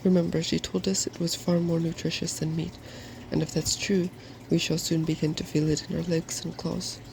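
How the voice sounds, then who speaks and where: A man voicing a solemn, hopeful, meek dragon in a soft-spoken ASMR storybook reading, earnest and polite. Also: a little high, flat delivery, moderate pace, creaky, a little hiss or hum, rough recording.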